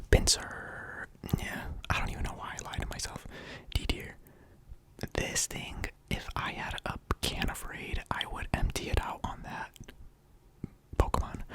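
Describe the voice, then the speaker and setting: A person whispering casual, rambling ASMR-style commentary as a video host, in a hesitant and playful manner. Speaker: video host ranking game characters.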